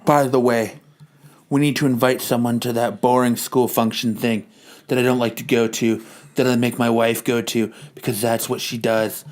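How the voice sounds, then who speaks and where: A man's voice: mid-range voice, level tone, moderate pace, voice pushed down, natural voice. A man playing an impatient, nagging wife in an amateur comic reading.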